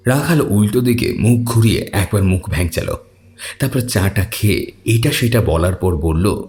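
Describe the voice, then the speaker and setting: A man narrating a scripted horror audio story as its storyteller, in a dramatic, expressive manner. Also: on the low side, moderate pace, slight background noise.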